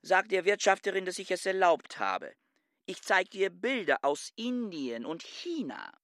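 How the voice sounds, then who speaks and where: A stiff reclusive scholar. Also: male voice, sits high, sing-song, fairly bright tone, no echo, studio quality, full character voice. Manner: measured, formal questioning. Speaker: neighbour with a private library. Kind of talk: radio play acting. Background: music.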